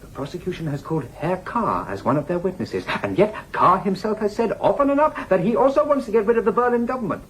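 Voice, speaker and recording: An actor playing a fervent, volatile male nationalist leader in a radio drama, declaiming and swinging between hushed and shouted delivery. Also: high voice, lively intonation, quick pace, few pauses, slight background noise, low-quality recording. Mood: outraged. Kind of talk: dramatic radio play acting.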